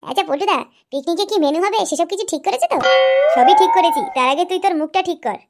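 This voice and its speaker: Woman as brash, high-pitched cartoon lout. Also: animated, fast, loud music behind the voice. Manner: crude, brash banter. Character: crude, boisterous village drinking buddies, young men. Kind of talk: Bengali comedy cartoon dialogue.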